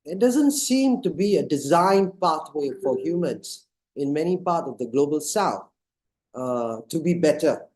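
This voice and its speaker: A man's voice: on the high side, expressive, unhurried, a little mellow. A man from Singapore moderating an online panel discussion, talking in a hesitant way with many fillers and restarts.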